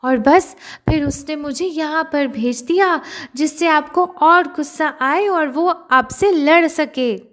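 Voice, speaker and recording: A woman's voice: sits high, takes it slowly, pitched above the performer's own voice. A woman voicing a small, clever male rabbit who pleads humbly in a children's story. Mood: slyly humble.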